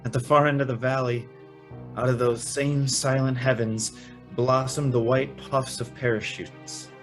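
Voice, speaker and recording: An actor playing an earnest, wistful young man in a radio drama, measured and reflective with halting phrases. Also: mid-range voice, level tone, soft background music, natural voice. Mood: grave, dramatic. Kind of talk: radio drama acting and narration.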